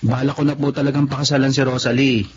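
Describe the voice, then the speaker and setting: A man's voice: medium pitch, flat delivery, fast talker, natural voice. A man acting an earnest young sweetheart in a radio drama. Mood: earnest, uneasy. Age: young adult. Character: earnest young working son and sweetheart, sometimes tipsy. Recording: dull, noisy lo-fi radio audio.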